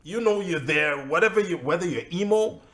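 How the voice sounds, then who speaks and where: A comedian co-hosting a casual podcast chat, talking emphatically and acting out little asides. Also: male voice, high-pitched, expressive, fairly quick, fairly bright tone. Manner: emphatic, rants with acted-out asides.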